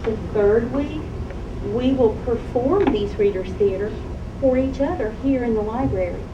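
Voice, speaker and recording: A woman's voice: on the high side, unhurried, dull audio. A woman teacher instructing young children in a school library, projecting her voice across the room and often checking in with questions. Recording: echoey, noisy room, distant microphone.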